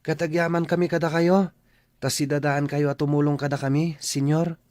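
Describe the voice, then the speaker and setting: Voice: medium pitch, little pitch movement, moderate pace, a little mellow, dry recording, clean studio sound, close to an everyday voice. An actor playing a polite, eager schoolboy in an Ilocano radio drama, speaking respectfully and emphatically. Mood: earnest, grateful. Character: polite, earnest, grateful schoolboy.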